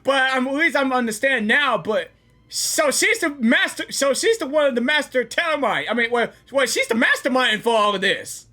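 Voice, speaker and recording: High sharp voiced gamer reacting live, super animated, exclaims n repeats stuff, game music faintly behind. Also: moderate pace. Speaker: gaming reaction video maker. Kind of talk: excited casual live reaction commentary.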